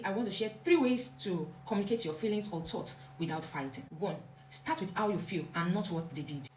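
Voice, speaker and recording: A woman's voice: mid-range voice, a little mellow. Earnest woman giving advice. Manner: earnest, repeats for emphasis. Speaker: vlogger. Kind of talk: reflective motivational talk. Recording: echoey room, faint hum.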